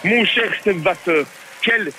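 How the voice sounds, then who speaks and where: A man, a radio reporter speaking live in an excitable, playful, exclamatory way. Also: sits high, unhurried, a little hiss or hum, rough recording. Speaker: field reporter on a radio show. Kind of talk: excited mock race commentary and live chat.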